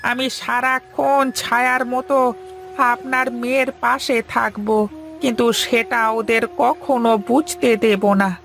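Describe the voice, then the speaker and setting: A woman's voice: on the high side, steady tone, unhurried, vocal fry, fairly bright tone. A woman voicing a loyal, deferential male servant in a cartoon, eager to reassure the master. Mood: reassuring. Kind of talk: cartoon voice acting.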